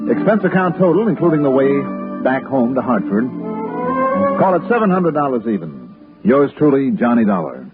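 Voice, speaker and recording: An actor playing a cool, hard-boiled private investigator with an American accent, deadpan and measured in 1960s radio drama style. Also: male voice, medium pitch. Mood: wry, reflective. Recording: muffled narrow-band 1960s radio with loud music.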